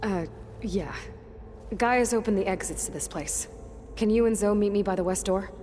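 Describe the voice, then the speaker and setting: A woman's voice: medium pitch, moderate pace, warm tone, long reverb tail, slight background noise, lightly acted. An actress playing a determined young female hero in a video game, with an earnest, understated, conversational delivery. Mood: businesslike. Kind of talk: scripted video game dialogue.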